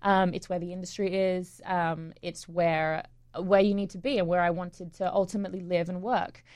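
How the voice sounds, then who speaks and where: A woman's voice: fairly low pitch, little pitch movement, moderate pace, a little mellow, no room sound. A young woman in her twenties, born in England and raised in Australia, with an English-Australian accent, talking casually as an interview guest with frequent ums and laughs. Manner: chatty, laughs often, many ums. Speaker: stage actress, guest.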